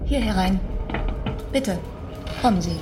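An actress playing the composed, evasive housekeeper of a remote manor in a radio drama. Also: female voice, mid-range voice, slow pace, echoey room, over music. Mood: uncannily polite. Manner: polite, calm, evasive. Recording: radio play with score beneath.

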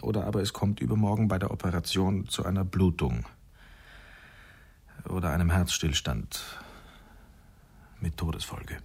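A very low, rough-edged male voice carries a brooding father in a radio drama. He weighs his words hesitantly, with a markedly husky grain. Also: normal speed, long pauses, darkish tone, exaggerated voice. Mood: troubled, hesitant. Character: brooding expectant father facing a grave decision. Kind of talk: German radio drama acting.

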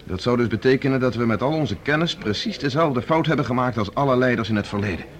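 Sober mid-pitched man in radio drama an actor. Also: expressive, fast, natural voice. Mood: troubled. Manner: sober, reserved. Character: serious, reserved, sceptical male colleague. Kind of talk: Dutch radio play dialogue.

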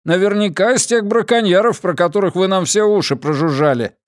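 An agitated, accusing fisherman in an audiobook novel, played by a male narrator. He exclaims loudly in a raised pitch, recorded dry. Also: a little fast, no echo, natural voice. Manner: loud, exclaiming.

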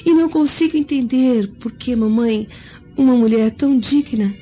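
A woman's voice: fairly high pitch, moderate pace, warm tone, close to an everyday voice. A woman playing a refined, bookish young Roman noblewoman in a radio drama, speaking formally and earnestly. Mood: tender, puzzled. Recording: dull lo-fi audio with music behind.

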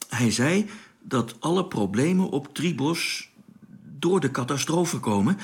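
A man's voice: medium pitch, vocal fry. A man acting in a radio play as a candid, pensive spaceship radio operator with a hesitant, informal manner. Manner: hesitant, informal, thinking aloud.

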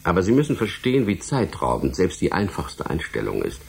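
A man's voice: low-pitched, wildly swooping pitch, brisk, creaky, slightly rough, exaggerated voice. A man playing a courteous, evasive middle-aged department head in a radio drama, explaining things in a polite, officious way. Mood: regretful, dismissive.

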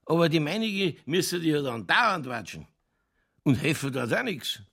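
A man playing a boozy, world-weary middle-aged police chief in a radio play, with a Lower Bavarian accent and a rambling, tipsy, cynically jovial delivery. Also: big pitch swings, moderate pace, gravelly, a bit bright, slightly rough, full character voice. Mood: tipsy, opinionated. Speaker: actor in a crime radio play. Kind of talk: naturalistic German radio drama dialogue.

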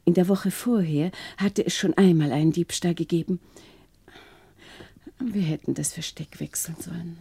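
A woman's voice: on the low side, moderate pace, creaky, dark tone, breathy edge, dry recording. An actress playing a grave, sorrowful wealthy woman confessing in a hesitant, subdued way in a radio crime drama. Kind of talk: 1960s German radio crime drama acting.

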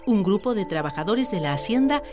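A woman presents and narrates a scripted radio story. Her pitch is average for a woman. Music plays clearly underneath her storytelling. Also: animated, brisk. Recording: music behind studio narration.

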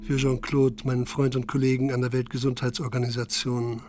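An actor narrating a radio crime drama in a sombre, detached manner. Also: male voice, medium pitch, level tone, fairly quick, over music, close to an everyday voice. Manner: sober, detached. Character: sombre male third-person narrator of a crime story.

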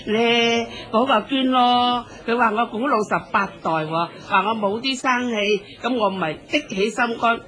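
A woman's voice: a little high, a little slow, hardly any pauses, a bit bright. An actress playing a perky older woman eager to seem youthful and trendy, boastful, with a Hong Kong Cantonese accent in a radio drama. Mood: eager, pleased.

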